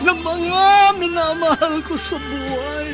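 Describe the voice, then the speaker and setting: An actor with a Filipino accent playing a proud, lonely, aging army colonel in a melodramatic radio drama, imperious and anguished. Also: male voice, extremely high pitch, fairly even intonation, slow, music in the background. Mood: tearful, desperate. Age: older.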